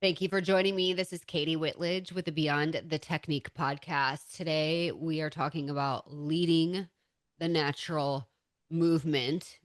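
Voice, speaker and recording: A woman's voice: low voice, dry recording. A woman hosting a podcast interview, enthusiastic as she introduces and questions her guest. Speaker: podcast host.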